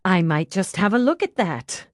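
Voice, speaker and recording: A woman voicing a composed, polite female doctor with a measured, gently amused manner, in an audiobook. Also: fairly low pitch, very animated, no echo, clean studio sound.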